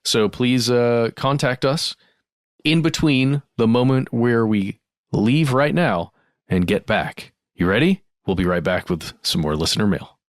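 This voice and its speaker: A man's voice: medium pitch, expressive, unhurried, no room sound, studio quality. A man speaking informally and earnestly as a podcast co-host, chatting and reading out listener mail.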